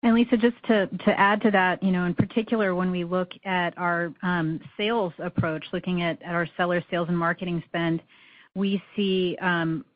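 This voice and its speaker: Female finance executive, lower pitch, businesslike, measured explanations, brief hesitations, dry conference-call sound. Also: steady tone, no room sound. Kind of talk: earnings call answers, businesslike. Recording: slightly dull, dry call audio.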